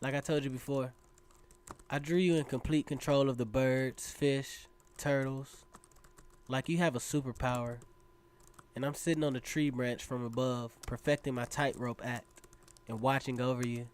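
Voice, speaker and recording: A man's voice: mid-range voice, steady tone, normal speed, clean tone, natural voice. A man playing an earnest, lovestruck seventeen-year-old boy reading his own letters aloud in a radio drama, with a poetic manner. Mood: tender.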